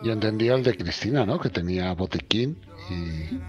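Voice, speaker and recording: A man's voice: on the low side, lively intonation, moderate pace, darkish tone, dull audio, soft background music. A man with an accent from Spain, chatting casually in character as a player in a tabletop game. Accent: Spanish (Spain).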